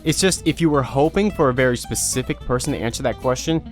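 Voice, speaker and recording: A man's voice: medium pitch, fast, soft background music. A man speaking informally and directly to viewers as an online creator, explaining guidelines in a casual video announcement.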